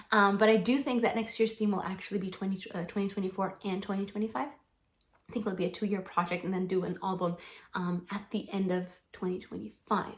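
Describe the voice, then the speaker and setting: A bubbly young woman, Slovenian her first language, talks to her camera at home in a relaxed Q&A vlog. She gushes enthusiastically and keeps starting over, with lots of ums, at an ordinary middle pitch. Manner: bubbly, gushing, frequent ums and restarts. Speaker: singer-songwriter answering fan questions. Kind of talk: casual Q&A vlog.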